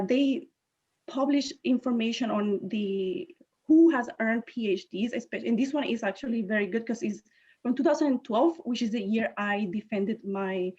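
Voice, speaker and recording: A woman in her thirties from Puerto Rico, a native Spanish speaker with a Puerto Rican accent, giving a conversational talk as a guest speaker, often pausing on filler phrases. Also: fairly high pitch, a little mellow. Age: 30s. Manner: conversational, leans on filler phrases, self-correcting. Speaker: guest speaker, chemist and inventor. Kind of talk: virtual presentation talk. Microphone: video call. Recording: dull lo-fi call audio.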